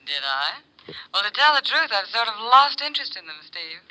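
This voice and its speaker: An actress playing a cool, teasing, scheming femme fatale over the telephone in a 1950s radio drama. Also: female voice, fairly high pitch, big pitch swings, bright, forceful tone, full character voice, rough recording. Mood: coy, mocking. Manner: coy, mocking, drawn-out.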